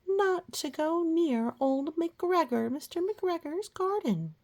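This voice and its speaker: A woman voicing a motherly lady rabbit in a storybook, gently cautioning her children in a sing-song way. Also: very high voice, big pitch swings, takes it slowly, voice pushed up, full character voice. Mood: warning.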